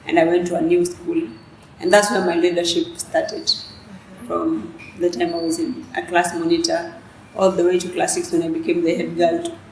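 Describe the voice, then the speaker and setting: A young woman from South Sudan, a native Dinka speaker, answering interview questions in a soft, hesitant way with many restarts. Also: lowish voice. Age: young adult. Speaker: student leader guest. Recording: echoey room with faint noise.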